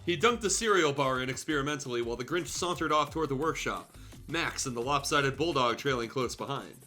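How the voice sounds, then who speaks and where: A man's voice: medium pitch, expressive, a little fast, pushed and bright, soft background music. A man reading fan fiction aloud for comedy as a show host, breaking off into casual, irreverent, sweary asides.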